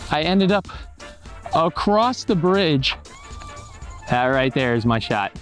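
A man's voice: fairly high pitch, animated, slow pace, over music. A man vlogging a disc golf round in a playful, jokey manner, mixing casual chat with mock-dramatic narration.

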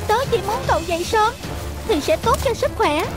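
A woman's voice: extremely high pitch, lively intonation, moderate pace, over music. A woman voicing a small, friendly, helpful bird in a children's cartoon. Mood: pleading.

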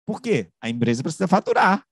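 Higher-pitched man explaining. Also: very animated, vocal fry, slightly rough, no echo, studio quality. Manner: halting, repeats phrases and rephrases, rhetorical questions. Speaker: media researcher on a panel. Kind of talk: explanatory academic discussion. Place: room with bookshelves, on a video call. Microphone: large microphone close to the mouth.

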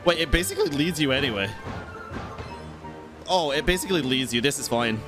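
Excitable streamer guy over music. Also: a little high, fairly quick. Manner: reactive, excitable, addresses viewers, swears. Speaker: game streamer. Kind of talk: casual live gameplay commentary. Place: indoor streaming setup. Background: game music.

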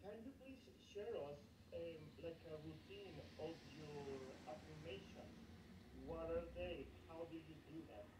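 A woman's voice: low-pitched, unhurried. A woman calling in to a live video call who asks a question politely and a little hesitantly. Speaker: caller. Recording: echoey, distant video call audio with noise.

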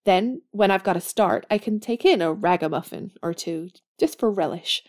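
Woman playing plucky, earnest young woman, medium pitch. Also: moderate pace, darkish tone, close to an everyday voice. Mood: excited, determined. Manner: earnest, plain-spoken. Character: warm, plucky, idealistic young woman in love. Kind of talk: audio drama acting.

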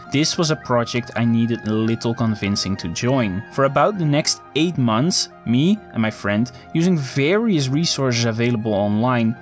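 A man whose first language is Dutch, narrating a scripted video as the channel host and lifting his voice eagerly at the start of phrases. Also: medium pitch.

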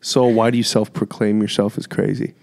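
A man hosting a podcast, asking probing questions in a low, relaxed voice. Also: a little fast, gravelly. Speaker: podcast host. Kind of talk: casual interview chat.

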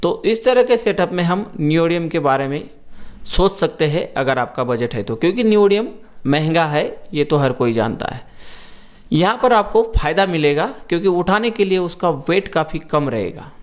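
Clear, higher-pitched man explaining. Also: lively intonation, moderate pace. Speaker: presenter of an audio equipment channel. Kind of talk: explanatory talk to camera. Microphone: lapel mic.

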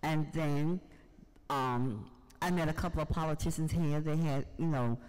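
A man's voice: fairly high pitch, moderate pace, a little mellow, smooth. Older New man in a reverberant hall. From Harlem, New York, United States. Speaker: audience member, writer and poet. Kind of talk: unscripted personal storytelling. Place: large museum event hall, indoors.

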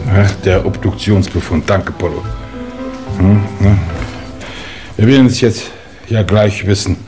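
An actor playing an authoritative English chief inspector in a 1950s German radio play, official and matter-of-fact. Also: male voice, sits low, unhurried, a little mellow, faint music behind. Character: brisk, authoritative male Scotland Yard chief inspector.

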